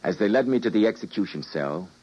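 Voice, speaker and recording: A weary, idealistic scientist in an old radio play. His low voice is husky and grave, swinging dramatically as he tells of his downfall. The recording is dull and aged. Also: expressive, fast. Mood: grave, bitter. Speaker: narrator and lead actor.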